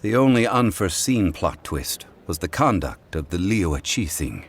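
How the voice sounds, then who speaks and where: Ancient god for a fantasy game: deep, composed, measured, stately diction. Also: male voice, sing-song, husky, heavily acted. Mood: composed, satisfied. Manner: formal, measured, eloquent. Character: ancient dignified god in mortal man's form, calm. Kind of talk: video game voice acting.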